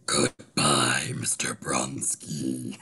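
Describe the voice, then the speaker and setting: An actor playing a menacing masked phantom, an angel of death, in a slow, whispery rasp for a superhero table read. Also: male voice, a bass voice, flat delivery, bright, forceful tone, exaggerated voice. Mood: slow menace. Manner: slow, drawn-out, ominous delivery.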